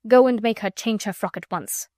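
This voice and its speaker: A woman's voice: mid-range voice, moderate pace, dark tone, dry recording, clean studio sound, close to an everyday voice. A woman playing a cold, commanding headmistress. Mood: cold scornful. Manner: clipped, commanding, scornful. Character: stern, cold middle-aged headmistress.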